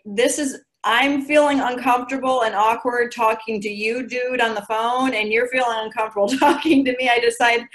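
A woman in her forties giving chatty, animated coaching advice over a video call from her living room. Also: fairly high pitch. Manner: chatty and animated, gestures a lot. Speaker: guest recruiter. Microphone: video call laptop mic.

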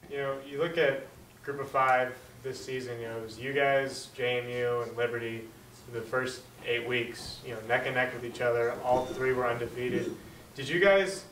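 A man's voice: mid-range voice, steady tone, normal speed, fairly bright tone. A man asking a reporter's question at a sports press conference. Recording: echoey, distant, faint room noise.

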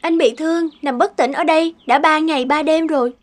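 A woman voicing a shy, kind young forest woman in a fairy-tale cartoon, gentle and hesitant. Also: sits high, fairly even intonation, fairly quick, lightly acted. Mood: caring.

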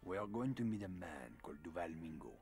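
Luc, a deep-voiced resistance leader. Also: male voice, big pitch swings, darkish tone. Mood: guarded. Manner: measured, secretive. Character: calm, guarded male resistance leader. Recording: game audio over faint music.

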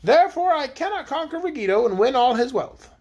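A man voicing a proud, dramatic young queen in a storybook reading, with a high, theatrical delivery. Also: sing-song, fairly quick, darkish tone, heavily acted. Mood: lamenting.

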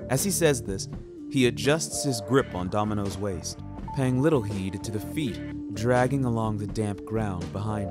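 A man reading scripted first-person fiction in a deliberate, ornate and measured storytelling manner. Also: fairly low pitch. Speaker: narrator of a serial audio story. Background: music.

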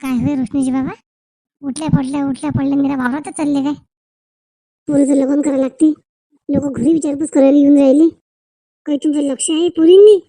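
Woman as bossy cartoon village mother, high voice, scolding, insistent, dry close sound. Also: fairly even intonation, a little fast, long pauses, mellow, no echo. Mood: scolding, exasperated. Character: bossy, nagging village mother arranging her daughter's wedding. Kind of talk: Marathi family comedy cartoon dialogue.

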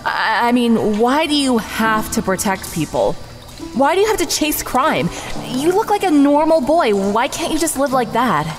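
A woman's voice: fairly high pitch, sing-song, normal speed. A woman playing a lonely, childlike yet menacing snake woman with a naive, probing manner in a comic audio drama. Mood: curious. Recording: reverberant hall, music behind.

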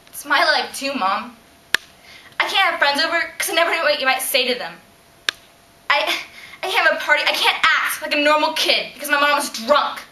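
A woman's voice: a little high, sharp tone. A thirteen-year-old girl acting an emotional, hurt teenage daughter in a dramatic stage monologue. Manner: emotional, earnest. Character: hurt, pleading teenage daughter of a drunk mother. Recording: echoey noisy room, distant mic.

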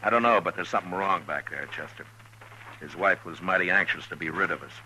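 An actor playing a stern, steady frontier marshal in a 1950s radio western, terse and authoritative. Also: male voice, sits low, fast, slight background noise, rough recording. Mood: steady, businesslike. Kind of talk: 1950s radio western acting and first-person narration. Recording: vintage 1950s radio recording with music.

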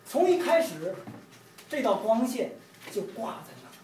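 A middle-aged man from China recites his poetry with measured, emphatic phrasing. He is recorded from a little distance in a very roomy space, with faint noise behind him. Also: very high voice, big pitch swings, a little mellow. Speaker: poet reading own work. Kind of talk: poetry reading. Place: room with large windows, indoors.

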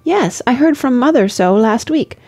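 A woman voicing an eager, naive young English gentlewoman in an effusive, girlish way for an audiobook. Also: on the high side, lively intonation, moderate pace, pitched above the performer's own voice. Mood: eager, chatty.

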